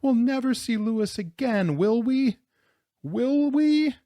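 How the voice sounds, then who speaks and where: A man's voice: extremely high pitch, expressive, takes it slowly, dark tone, no room sound, voice pushed up, exaggerated voice. A man voicing a despairing, tearful young girl in an audiobook story. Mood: sobbing.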